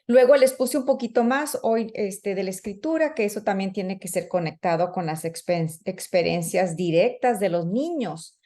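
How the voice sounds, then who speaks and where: A woman's voice: medium pitch, lively intonation, moderate pace, hardly any pauses, mellow. A woman giving a conversational training talk to teachers, using fillers and acting out children and teachers. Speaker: early childhood educator and trainer. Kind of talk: professional development talk to teachers.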